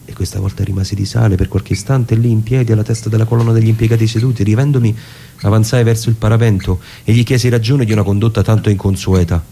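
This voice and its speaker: A man narrating a literary story for radio in a deliberate, theatrical way, with short measured phrases. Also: lowish voice, fairly even intonation, warm tone, a little hiss or hum. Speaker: narrator and performer. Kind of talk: dramatic literary reading for radio with music. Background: music with plucked guitar.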